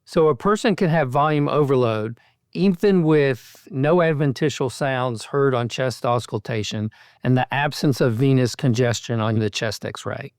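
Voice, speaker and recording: A man speaking as a podcast host, asking structured medical questions in a measured, articulate way. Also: mid-range voice, no echo, clean studio sound.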